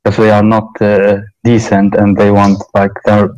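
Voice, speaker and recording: A man calling in to a live debate, answering hesitantly with many fillers and pauses. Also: on the low side, completely flat delivery, a little mellow, highs cut off. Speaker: caller.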